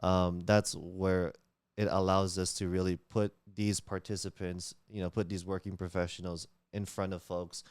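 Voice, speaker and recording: A man with a very low, smooth and resonant voice, speaking English as a Tagalog speaker. He talks earnestly in a casual interview, restarting his phrases and filling pauses. The sound is close and dry. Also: little pitch movement, dry recording. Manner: earnest, many fillers and restarts, laughs while talking. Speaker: community leader, guest.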